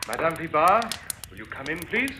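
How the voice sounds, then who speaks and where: An actor playing a formal, polite, probing police sergeant in a 1940s radio drama. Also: male voice, on the high side, very animated, normal speed. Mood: calm, formal. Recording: old radio recording with light noise.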